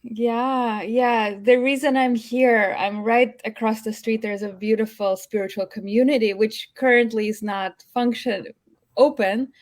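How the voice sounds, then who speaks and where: A woman from Russia, speaking with a Russian accent, talks as a guest healer on a live-streamed video call in a soft, gentle, halting way. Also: on the high side, steady tone. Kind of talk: casual live-stream interview. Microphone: home video call.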